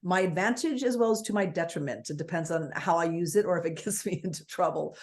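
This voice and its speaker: A woman with a booming voice, a guest speaking forthrightly and with energy in an interview over a video call. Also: fast talker. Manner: forthright, energetic, piles on points.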